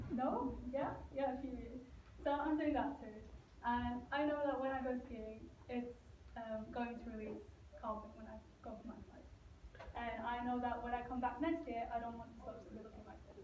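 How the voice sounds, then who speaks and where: A young woman from Norway giving a student competition presentation to a live audience, earnest and stopping now and then to ask the audience questions. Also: a little high. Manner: earnest, asks the audience questions, some restarts. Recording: echoey room, voice from a distance, dull lo-fi sound, faint hiss.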